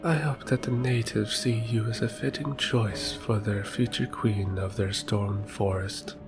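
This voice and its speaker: A man's voice: fairly low pitch, fairly even intonation, unhurried, music in the background. An actor playing a wry, needling councilman in a fantasy audio drama. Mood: teasing.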